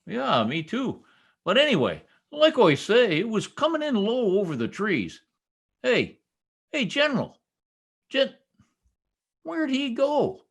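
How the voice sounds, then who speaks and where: A man's voice: extremely high pitch, huge pitch swings, takes it slowly, creaky, long pauses, husky, exaggerated voice. A man playing a boastful, bumbling, long-winded husband in folksy old-time radio comedy style. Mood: exasperated. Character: blustering, long-winded, self-important husband, a tall-tale teller. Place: at home on a video call.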